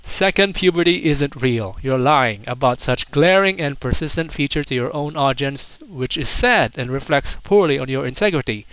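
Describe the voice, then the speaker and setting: A man's voice: medium pitch, expressive, moderate pace, hardly any pauses, a bit bright, no room sound. A young adult man casually reading out internet comments as a commentator, with mocking, incredulous, slangy asides. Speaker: video commentator.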